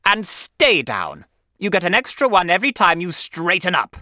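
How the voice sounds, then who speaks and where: A huge, domineering headmaster, played by a male narrator barks his commands in a raised, forceful voice. He is stern and menacing, snapping out each order like a storybook tyrant. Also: fairly high pitch, wildly swooping pitch, normal speed, no echo, studio quality, voice pushed up, heavily acted. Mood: barking orders.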